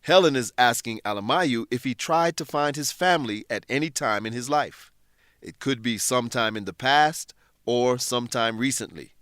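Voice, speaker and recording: A man presenting an English lesson in scripted narration, explaining things in a measured way with careful enunciation. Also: mid-range voice, a bit bright, smooth, dry recording, studio quality.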